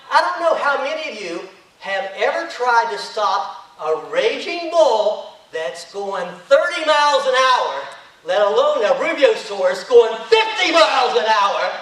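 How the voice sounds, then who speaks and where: Animated man telling a tall tale. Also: high-pitched, a little slow. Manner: animated storyteller, acting out the action. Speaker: contest speaker. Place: hotel conference room. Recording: echoey room, recorded from far off.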